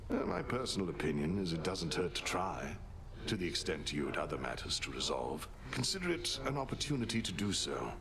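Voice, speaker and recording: A man's voice: low voice, big pitch swings, creaky, heavily acted. A man playing a shrewd, loyal veteran strategist in a video game, courtly and wry. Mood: wry, respectful. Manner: courtly, easygoing, deliberate. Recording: noisy ambient background.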